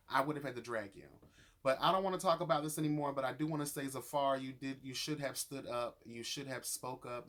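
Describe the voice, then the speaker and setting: A man's voice: medium pitch, a bit bright. Sassy, emphatic man giving a profane rant. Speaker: YouTube reviewer of a reality show. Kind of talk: opinionated review monologue, casual and profane.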